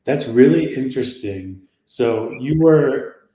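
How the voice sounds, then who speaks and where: A man hosting a casual interview, his questions friendly and a little tentative. His voice sits at an ordinary male pitch, and the recording carries a roomy echo. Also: lively intonation, slow pace, warm tone. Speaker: interview host. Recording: echoey room.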